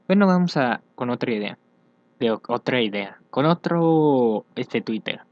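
A young man chatting casually as he reads social media aloud for a vlog, hesitant and thinking out loud. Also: mid-range voice, expressive, a little slow, no room sound. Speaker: fandub YouTuber. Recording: dull, lo-fi home microphone.

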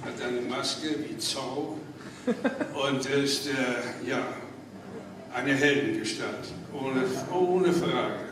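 A man's voice: medium pitch, slow pace. An older man, a voice actor on a convention panel, talking spontaneously in a hesitant, musing way. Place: theatre stage, indoors. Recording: echoey hall from far off, loud noise.

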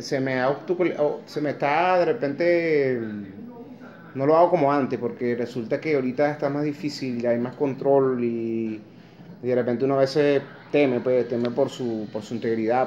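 A man's voice: mid-range voice, steady tone, moderate pace, a little mellow, highs cut off, a little hiss or hum. A Venezuelan man in his forties rants into a phone held close in his kitchen, blunt and indignant, dropping curses and sarcastic jabs. His voice sits mid-range and plain. The room around him rings with a bouncy echo. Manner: indignant, blunt, swears, sarcastic mocking asides. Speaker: vlogger. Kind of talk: angry opinion rant to camera. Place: kitchen at home indoors. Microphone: phone camera held close.

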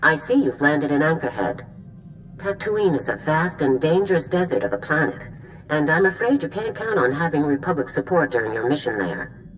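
A woman's voice: sits low, big pitch swings, moderate pace, soft background music, poor audio. A woman voicing a stern, formal, authoritative female military general in a video game. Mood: commanding. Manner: formal, commanding. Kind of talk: video game voice acting, mission briefing.

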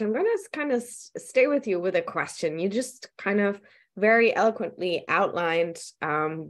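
A woman in her thirties moderating a panel discussion over a webcam, somewhat hoarse, speaking animatedly with rambling questions. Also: on the low side. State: losing her voice. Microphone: video call webcam.

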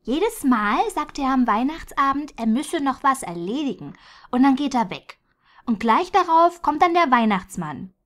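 A man voicing an excited young child who tells the story. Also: extremely high pitch, normal speed, pitched above the performer's own voice, heavily acted. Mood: earnest.

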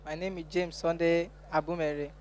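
A seventeen-year-old boy with a Nigerian accent gives a somewhat halting testimony as a student. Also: a little high, flat delivery, a little hiss or hum.

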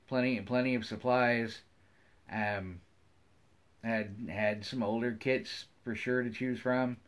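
A middle-aged man with an American accent chats casually to viewers as a hobby vlogger, rambling in a folksy way and often repeating his phrases. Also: fairly low pitch. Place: wood-paneled room at home, indoors.

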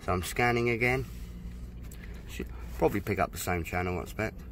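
A man's voice: on the low side, fairly bright tone, a little hiss or hum. A man from the United Kingdom, casually demonstrating his boat stereo outdoors and reading out menu items as he goes. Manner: chatty, unhurried, reads out menu items. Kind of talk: casual hands-on product demo. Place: outdoors at a boat in a dry stack.

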